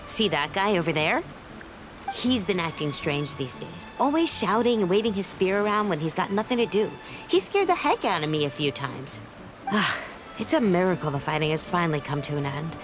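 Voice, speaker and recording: A woman's voice: fairly low pitch, very animated, moderate pace, poor audio. A woman voicing a friendly, gossipy fruit and vegetable seller in a video game. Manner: chatty and gossipy. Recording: game audio with music.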